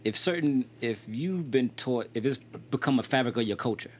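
A man's voice: mid-range voice, expressive, moderate pace, slightly rough. A man in his thirties hosting a podcast in casual conversation, often asking "you know what I mean". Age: 30s. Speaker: podcast host.

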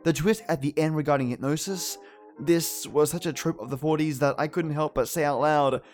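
Male podcaster, casual commentary, chatty matey address, lightly high pitch, faint music bed. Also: normal speed, a little mellow. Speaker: podcast host.

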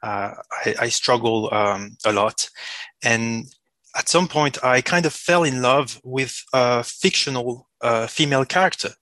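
A man's voice: medium pitch, unhurried, bright, forceful tone, dry recording. A native French-speaking man from Switzerland with a Swiss French accent and a slight cold, talking hesitantly as an interview guest. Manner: hesitant, self-correcting. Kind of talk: halting conversational interview talk.

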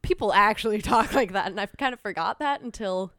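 A woman's voice: mid-range voice, fairly quick, no room sound. A young woman from Minnesota with a slight Minnesotan accent, chatting casually on a podcast and acting out quotes as she tells stories. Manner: animated storytelling, acts out quotes, laughs often. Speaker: podcast guest. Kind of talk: casual chat.

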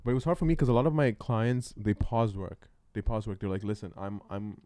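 A man's voice: lowish voice, lively intonation, a little fast, mellow. A young man raised in Hong Kong, chatting casually in a loose, rambling way full of fillers. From born in Sri Lanka, raised in Hong Kong. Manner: loose, filler-heavy, calls people bro. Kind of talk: casual chat.

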